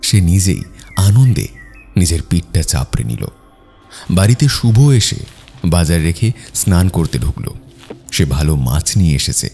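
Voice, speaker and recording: Deep, creaky, mellow male storyteller. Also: very animated, a little slow, long pauses, soft background music. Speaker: narrator. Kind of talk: scripted cartoon storytelling narration.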